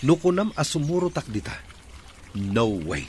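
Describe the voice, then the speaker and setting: A man's voice: a little high, sing-song, moderate pace, heavy background noise. An actor playing an anguished, quarrelsome young father in a radio drama. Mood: bitter, angry.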